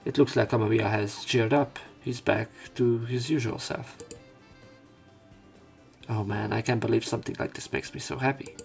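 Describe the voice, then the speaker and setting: A man's voice: medium pitch, fairly even intonation, moderate pace, gravelly, soft background music. A man reading visual novel narration aloud in a subdued way, as a let's player.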